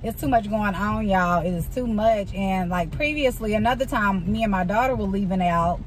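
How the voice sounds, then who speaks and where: A woman, chatting casually to the camera from a salon and then from a parked car at night, animated and talkative. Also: mid-range voice, moderate pace, slight background noise, poor audio. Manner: chatty, animated storytelling, addresses viewers as girl. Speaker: hairstylist vlogger. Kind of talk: casual vlog chat to camera. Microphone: phone camera held close.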